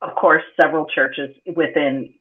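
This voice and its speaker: A woman's voice: low-pitched, fairly even intonation, normal speed. A woman from Atlanta, a guest motorsport instructor, telling stories in an easygoing way in a casual interview. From Atlanta, Georgia, US. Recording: tinny phone-like line.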